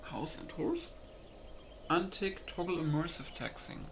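A man's voice: on the high side, a little slow, gravelly, noisy background. A man doing casual game commentary, muttering to himself as he reads settings aloud and comments loosely. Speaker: gamer recording a mod setup.

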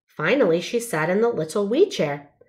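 A woman's voice: medium pitch, expressive, moderate pace, a little mellow. A woman narrating a children's storybook, reading aloud carefully and expressively.

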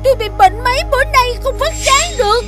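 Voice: extremely high pitch, fairly quick, sharp tone, faint music behind. An actor voicing a lazy, sleepy male firefly in a cartoon, whiny, petulant and drawling. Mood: lazy, grouchy. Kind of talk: cartoon voice acting.

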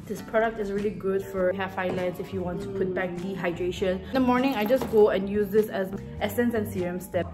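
A woman's voice: medium pitch, steady tone, a little fast, few pauses. A young woman with an Indian accent chats casually and enthusiastically as she co-hosts a skincare demonstration. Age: young adult. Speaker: beauty vlog co-host. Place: small indoor room, pink-walled studio. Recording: loud music behind. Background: music.

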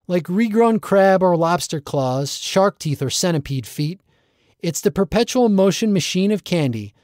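Male poet-reader, fairly high voice, rhythmic comic verse, dry recording. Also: a little mellow, dry recording. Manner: rhythmic storytelling delivery. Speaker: author reading own work. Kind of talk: reading a rhyming comic poem.

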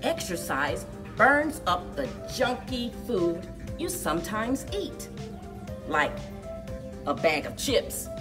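A middle-aged woman speaking to young children as a story time host, with exaggerated, drawn-out storyteller delivery. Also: medium pitch, distant mic. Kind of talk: expressive picture-book read-aloud and leading action activity for children. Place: indoors, children's library room.

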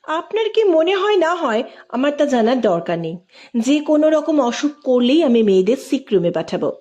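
Give a high-pitched, strict woman in charge of a girls' hostel , as a female narrator plays her. She is curt and easily irritated, and scolds like someone used to being obeyed in a storybook tale. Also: very animated, moderate pace, warm tone. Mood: stern, annoyed.

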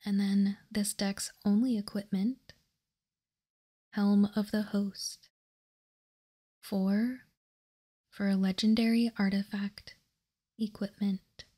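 A woman whispering softly and breathily in an ASMR style, calm and unhurried, as she hosts a card walkthrough. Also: medium pitch, husky. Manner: calm, unhurried, reading out and commenting. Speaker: host of a card game ASMR channel.

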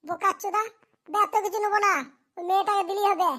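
This is a cartoon young bridegroom, brash and impatient. The voice is extremely high and piercingly bright, and it races along. He talks in crude, blunt bursts of complaint. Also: steady tone, fast. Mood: annoyed. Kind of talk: comedy cartoon dialogue.

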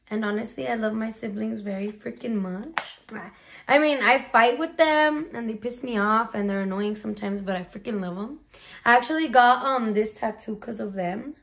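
A woman of twenty chatting casually in a bedroom Q&A vlog, slangy and mixing in Spanish words, recorded on a phone. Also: a little high. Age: 20s. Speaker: vlogger. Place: small bedroom. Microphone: phone camera at arm's length.

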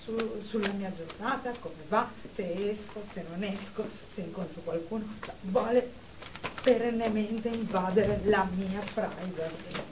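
A woman's voice: mid-range voice, close to an everyday voice. Nervous woman patient, distant and echoey , acted. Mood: irritated. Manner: halting, stammering, repetitive. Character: nervous, paranoid female patient resenting questions. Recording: echoey, distant mic, noisy background.

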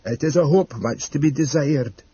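Audiobook role: dour scheming manservant read by a male narrator, very husky, dry close sound. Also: fairly high pitch, moderate pace, mellow, no echo, highs cut off. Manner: dour, dialect turns of phrase. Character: gloomy, plain-spoken male servant, dryly scheming.

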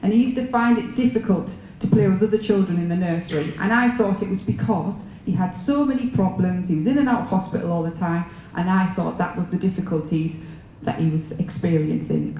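A woman's voice: fairly low pitch, warm tone. A middle-aged woman from northeast England, her accent mixed with Italian, telling personal stories to an audience in a conference room. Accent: northeast English with an Italian mix. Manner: chatty, storytelling, many um fillers. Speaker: speaker. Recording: roomy, echoey hall with faint noise.

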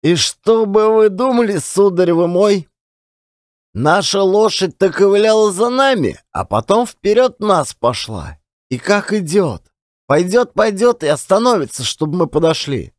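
A man voicing a pious old Siberian peasant telling his story in folksy, drawn-out, old-fashioned speech. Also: high voice, sing-song, no echo, clean studio sound, voice pushed up. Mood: weary recounting. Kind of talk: dramatised audiobook storytelling.

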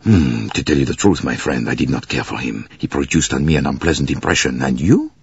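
Railway boss, acted by a male reader: very low, husky, bluff and genial, sure of himself, audiobook style. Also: lively intonation, a little fast, dry recording, lo-fi sound, heavily acted. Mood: reflective. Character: bluff, genial, self-assured continental railway director. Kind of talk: audiobook character voice.

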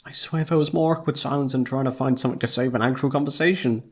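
A man's voice: medium pitch, steady tone, fast, lightly acted. A man acting as an informal, emotive young best friend who is secretly in love, in a one-sided roleplay audio drama. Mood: sheepish, deflated. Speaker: voice actor.

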